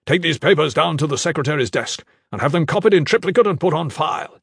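A man voicing a stern, curt commander of an elite guard in an audiobook story, with a gruff, gravelly voice. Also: fairly high pitch, expressive, fast talker, a bit bright, no echo, clean studio sound, voice pushed up, full character voice. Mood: stern, solemn. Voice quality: gravelly, husky.

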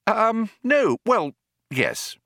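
Casting: awkward everyman voiced by a male reader, easily startled, stammery and incredulous, pitch jumping about, dry studio sound. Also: big pitch swings, extremely slow, creaky, bright, forceful tone, dry recording, heavily acted. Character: awkward, flustered, startled ordinary man. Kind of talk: audiobook character voice.

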